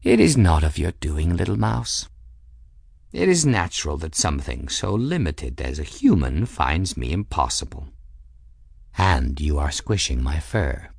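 A man performs a haughty cat warrior from a fantasy audiobook. The voice is very deep and the delivery slow. He sounds smug and pompous, as if nobody could be his equal. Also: huge pitch swings, creaky, husky, no echo, studio quality, heavily acted. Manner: pompous, unhurried, self-satisfied.

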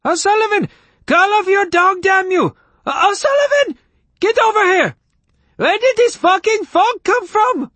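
A man voicing an irate, blustering male neighbor in an audiobook, spluttering and shouting in a panicked, shrill way. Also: expressive, unhurried, no room sound, heavily acted. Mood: panicked fury. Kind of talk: audiobook character acting.

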